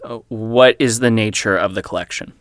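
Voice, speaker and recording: An actor playing an inquisitive podcaster who investigates weird history in an audio drama, speaking in a serious, probing way. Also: male voice, lowish voice, moderate pace, a bit bright, dry recording, studio quality, close to an everyday voice. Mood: probing, insistent.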